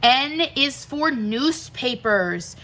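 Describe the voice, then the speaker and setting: A woman reading rhyming verse aloud for children in an expressive way, with deliberate, emphatic phrasing and pauses between phrases. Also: sits high. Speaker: teacher reading a picture book aloud.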